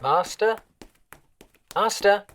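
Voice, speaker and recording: A man's voice: sits high, lively intonation, extremely slow, a bit bright, full character voice. A man playing an obsessive, possessive servant in a scripted roleplay audio drama, coaxing and deferential yet controlling. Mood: anxious pleading.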